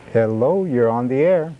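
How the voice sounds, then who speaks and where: A man's voice: mid-range voice, slight background noise. Man hosting a call-in show, lo-fi sound. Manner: friendly, repeats call-in prompts. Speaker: host. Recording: dull lo-fi broadcast recording.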